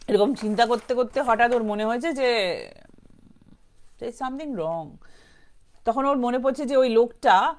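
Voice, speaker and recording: A woman with a Bengali accent telling a ghost story informally, in a conversational, unscripted way, slipping English phrases into her speech. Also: on the high side. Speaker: storyteller, show host.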